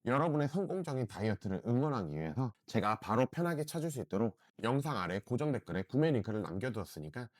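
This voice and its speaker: A middle-aged man with a hoarse voice from a throat cold, earnestly and persuasively reviewing a home body-composition scale in a casual vlog. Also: medium pitch, sing-song, fast talker, few pauses, no room sound, studio quality. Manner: earnest, repeats "진짜" often, persuasive. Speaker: diet product reviewer.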